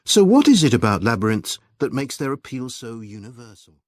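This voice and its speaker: A man delivering a scripted academic lecture in a measured, clearly enunciated way. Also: medium pitch, very animated, dry recording, studio quality. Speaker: lecturer reading an exam listening passage.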